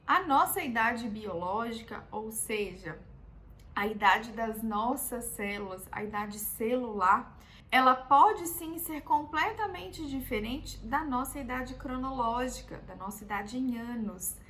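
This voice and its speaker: A Brazilian woman in her thirties, a doctor, patiently explaining a health topic in a calm, teaching way and often checking in with the listener. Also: a little high, a little slow, a little hiss or hum. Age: 30s. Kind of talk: explanatory health video talk.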